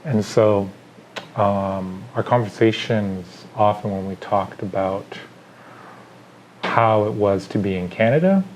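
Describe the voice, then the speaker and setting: Thoughtful Chilean-born man, low voice. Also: darkish tone, slight background noise, poor audio. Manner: thoughtful, pauses with fillers, lists ideas. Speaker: artist. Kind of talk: reflective artist interview.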